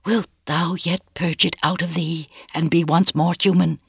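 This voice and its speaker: A reader as an anguished, resolute woman, husky-toned. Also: mid-range voice, fairly even intonation, a little slow, dry recording, clean studio sound, pitched above the performer's own voice, exaggerated voice. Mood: pleading. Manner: solemn, archaic, pleading speech.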